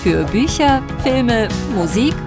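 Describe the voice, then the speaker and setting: Female ad announcer over loud music. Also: a little high, lively intonation, slow. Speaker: advertisement announcer. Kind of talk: scripted promotional voice-over.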